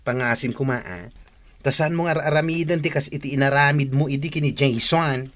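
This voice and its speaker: An actress playing a frank, scolding young best friend in an Ilocano radio drama, with an Ilocano accent. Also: female voice, rumbling low, steady tone, normal speed. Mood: reproachful.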